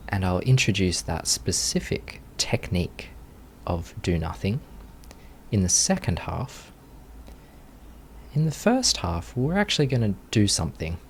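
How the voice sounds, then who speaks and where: A man with a low voice guides a meditation very slowly. He gives gentle instructions in short phrases, leaving long pauses between them. Ambient background noise is audible behind him. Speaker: meditation teacher.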